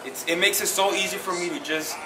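A young man, a customer, giving a short halting testimonial. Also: high voice. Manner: hesitant, halting. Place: indoor gym. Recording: echoey gym, crowd noise.

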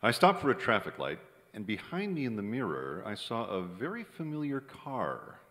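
A man's voice: lowish voice, wildly swooping pitch, normal speed, a bit bright. A man playing a cynical, broke screenwriter in an old-time radio drama, with a wry, colloquial delivery. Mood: wry, weary. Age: middle-aged. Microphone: stand microphone on stage. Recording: reverberant theater stage recording.

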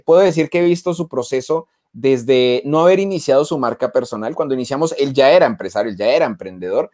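Lively young man hosting a video call. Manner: enthusiastic, warm greetings to viewers, lively pitch swings. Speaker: host and mentor. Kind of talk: live video call interview. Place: room with bookshelves, indoors. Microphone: earphone headset on video call.

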